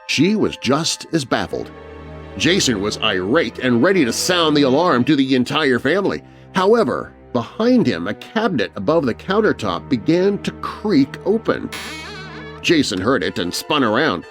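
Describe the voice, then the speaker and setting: A man's voice: medium pitch, sing-song, normal speed, a bit bright, faint music behind. A man narrating a scary story for children in a dramatic, scripted storytelling style.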